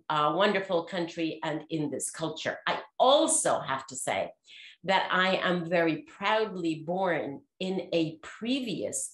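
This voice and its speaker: A woman in her sixties from Costa Rica, a panel guest speaking slowly and deliberately with gentle humor from her living room. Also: on the low side. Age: 60s. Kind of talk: reflective conversation. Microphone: studio mic on a desk stand. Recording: echoey room.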